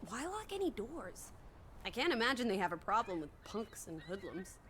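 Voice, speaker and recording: A woman's voice: mid-range voice, big pitch swings, normal speed, a bit bright, slight background noise. An actress playing a plucky, teasing young woman companion in a video game, with playful wit and old-fashioned slang. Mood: playful, curious. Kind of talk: naturalistic video game voice acting.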